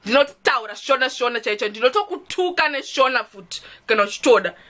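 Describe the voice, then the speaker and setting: Young zimbabwean woman vlogging, bright lively voice, playful and chatty. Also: high voice, quick pace. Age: young adult. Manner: chatty, playful, laughs often. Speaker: YouTuber. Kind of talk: get-to-know-me vlog.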